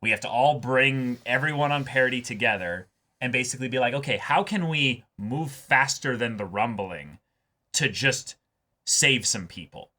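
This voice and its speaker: A man co-hosting an anime reaction show, talking casually and excitedly, often repeating words as he thinks aloud. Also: mid-range voice, normal speed. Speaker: co-host reacting to an anime episode.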